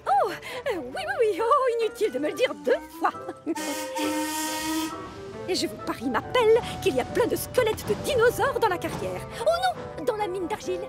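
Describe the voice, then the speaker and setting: A woman voicing an eager, excitable talking digger in a children's cartoon, flustered and gushing. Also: extremely high pitch, normal speed, over music. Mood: thrilled. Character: excitable, eager female talking digging machine.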